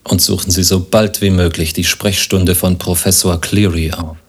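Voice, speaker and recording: An actor playing a pompous, absurdly learned doctor in a satirical radio play, pedantic and deadpan. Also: male voice, deep voice, moderate pace, background noise. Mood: deadpan advising. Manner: pedantic, deadpan, Latin-laced pronouncements.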